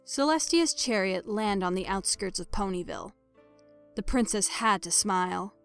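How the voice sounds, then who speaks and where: A woman reading a scripted dramatic story as narrator, measured and expressive in her delivery. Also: mid-range voice, creaky, clear, resonant tone, faint music behind.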